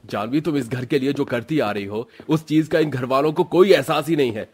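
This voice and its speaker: A man's voice: medium pitch, a little fast, breathy edge, natural voice. A man voicing an indignant, confrontational husband defending his wife in a moral cartoon story. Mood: resolute. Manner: stern, confrontational.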